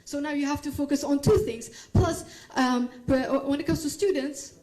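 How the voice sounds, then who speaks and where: Young woman debating, very high voice, halting and repeats herself. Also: a little mellow. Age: young adult. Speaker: university student debater. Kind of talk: spontaneous debate argument.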